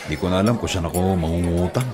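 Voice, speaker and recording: Low-voiced earnest young Filipino delivery rider played by a man. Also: quick pace, crowd noise, close to an everyday voice. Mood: worried. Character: caring, burdened young male delivery rider, patient and self-sacrificing. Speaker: radio drama actor. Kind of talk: naturalistic radio drama acting. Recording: music bed behind the voice.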